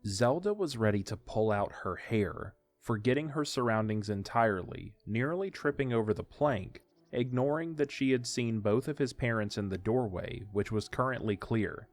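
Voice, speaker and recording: A man's voice: mid-range voice, animated, normal speed, few pauses. A man reading scripted narration for an audio drama story. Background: faint music.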